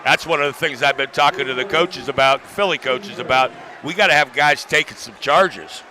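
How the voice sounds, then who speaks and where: A man's voice: medium pitch, moderate pace, sharp tone. An American man giving unscripted color commentary at a live basketball game. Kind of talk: unscripted live sports analysis. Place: courtside in a school gymnasium.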